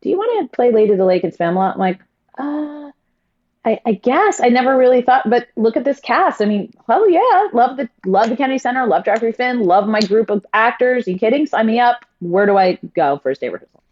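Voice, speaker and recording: A stage actress telling stories in a casual interview, effusive and quick with quoted asides. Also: female voice, on the high side, animated, muffled recording.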